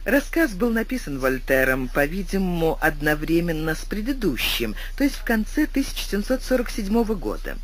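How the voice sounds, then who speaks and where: A man reading a classic tale aloud as an audiobook narrator, in expressive scripted storytelling. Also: high voice, moderate pace, few pauses.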